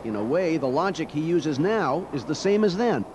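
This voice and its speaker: An American man narrating a television news feature as a reporter, in a measured, wry storytelling style. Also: a little high, sing-song, a little mellow, a little hiss or hum.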